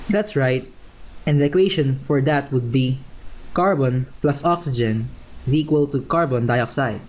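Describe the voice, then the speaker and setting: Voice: mid-range voice, measured pace, a little mellow, close to an everyday voice. An actor playing a modest, helpful thirteen-year-old boy genius in a radio play, polite and earnest. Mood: helpful, patient. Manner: polite, earnest, folksy exclamations.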